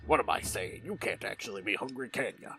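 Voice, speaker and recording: A man voicing a gruff, food-minded character musing aloud in a fan audiobook. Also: mid-range voice, animated, brisk, bright, forceful tone, slight background noise. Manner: musing aloud, answering own questions. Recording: music behind the voice.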